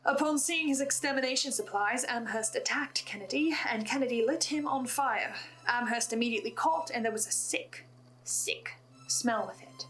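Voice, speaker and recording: A woman delivering scripted analytical commentary as a host, in a musing, dramatic way with deliberate pauses. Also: a little high, fairly bright tone, rough recording. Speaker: host of a podcast reaction and theory video.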